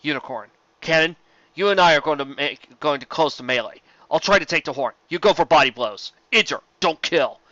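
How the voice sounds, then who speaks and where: A man's voice: a little high, animated, fairly quick, bright, forceful tone, no echo, muffled recording. A man voicing a stern pony guard lieutenant who gives urgent, clipped orders. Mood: urgent, commanding.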